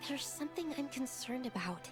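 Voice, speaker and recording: A woman's voice: high-pitched, animated, normal speed, over music. A woman voicing a careful, earnest young female researcher in a video game, speaking precisely and cautiously. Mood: earnest, concerned.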